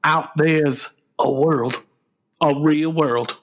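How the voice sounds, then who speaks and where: An actor playing a whiny, sulky adult son, a struggling musician, in a comedic radio play, with a plaintive, halting delivery. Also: male voice, on the high side, steady tone. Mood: mournful, self-pitying.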